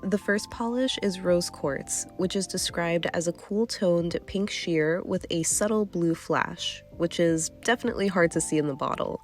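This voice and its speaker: A woman's voice: on the low side, normal speed, few pauses, soft background music. Congested chatty woman reviewing polish. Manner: chatty, candid, hedging with fillers. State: nasally congested. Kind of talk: casual product review vlog.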